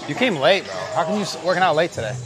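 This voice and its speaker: A man with an ordinary mid-range voice talks quickly and bluntly, firing off teasing questions. He's on a lapel mic in a big indoor gym, faint music behind. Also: on the high side, sing-song. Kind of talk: casual chat.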